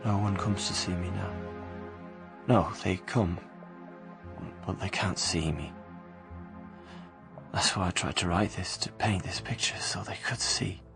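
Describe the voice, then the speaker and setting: An actor playing a haunted young killer, confessing in a halting, brooding radio drama narration. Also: male voice, low-pitched, fairly even intonation, vocal fry, over music. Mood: wistful, desolate.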